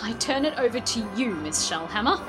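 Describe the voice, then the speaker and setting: A woman acts a harried, urgent organiser in a vintage-style radio play. Her voice is high and brisk, with clear music playing behind her. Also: big pitch swings. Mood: pleading, relieved. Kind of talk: old-time radio drama acting.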